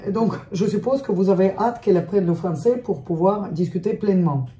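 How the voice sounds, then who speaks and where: A woman's voice: medium pitch, brisk, mellow, echoey room. A woman interviewing a couple, asking light, probing questions. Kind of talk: casual interview.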